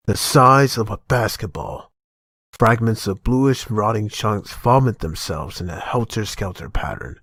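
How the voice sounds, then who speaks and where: A man giving grave, measured scripted narration for a fan comic dub. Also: fairly low pitch, vocal fry, fairly bright tone, breathy edge, no echo, clean studio sound.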